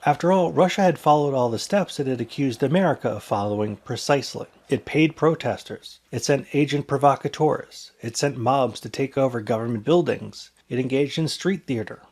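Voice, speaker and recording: A man's voice: mid-range voice, moderate pace, few pauses, a little mellow. A man presenting an explainer in scripted narration.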